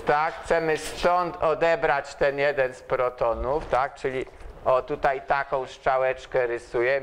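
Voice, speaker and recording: An older man lecturing at a chalkboard in a large hall, pausing often to ask students questions as he writes. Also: fairly high pitch, a bit bright, a little hiss or hum. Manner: slow, asks students questions while writing on the board. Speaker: university lecturer.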